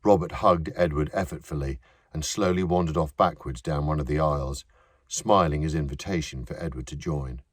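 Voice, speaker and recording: Deep-voiced male audiobook narrator. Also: moderate pace, no room sound, clean studio sound.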